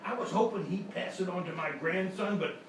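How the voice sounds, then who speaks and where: A man acts out an old blues musician in a radio comedy sketch, folksy and genial. His voice is on the high side and heard from far off in a very echoey, noisy space. He rambles and chuckles through his tales. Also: natural voice. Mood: genial rambling. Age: older. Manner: folksy, rambling, chuckling storyteller with halting repeats. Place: live show in a hotel room. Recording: echoey live stage, distant mic, noisy.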